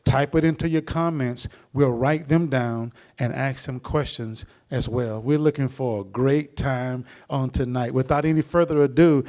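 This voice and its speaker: A middle-aged man hosting a church seminar, introducing the speaker in a rambling, preacherly way with many pauses. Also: medium pitch, fairly even intonation, a little mellow.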